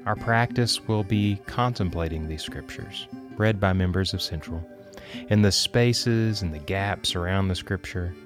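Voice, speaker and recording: A man hosting a devotional podcast, guiding listeners through scripted contemplation in a gentle, measured, reflective way. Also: low-pitched. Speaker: podcast host leading guided prayer and scripture contemplation. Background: acoustic guitar music.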